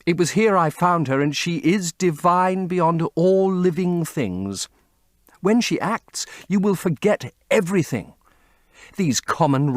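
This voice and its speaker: A man voicing a rapturous, lovestruck young gentleman in an audiobook, gushing and emphatic. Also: fairly high pitch, no echo, clean studio sound, pitched above the performer's own voice. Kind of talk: audiobook character acting.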